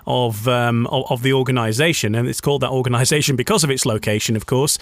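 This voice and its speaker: A man's voice: medium pitch, steady tone, dry recording. A man from Scotland hosting a charity radio show, interviewing a guest with many restarts and fillers. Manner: halting, many restarts and you-knows. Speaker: radio host.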